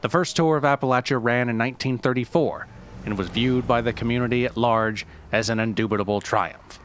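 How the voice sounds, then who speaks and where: A man reading scripted documentary-style narration in a formal, deadpan manner. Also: mid-range voice, brisk, slight background noise. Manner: deadpan, formal delivery of absurd history. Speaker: narrator and writer of a story podcast.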